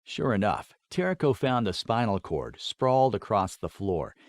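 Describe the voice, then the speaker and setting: A man's voice: on the low side, big pitch swings, normal speed, no echo. Man narrating fantasy, close dry sound. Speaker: audiobook narrator. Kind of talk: scripted dramatic fantasy narration.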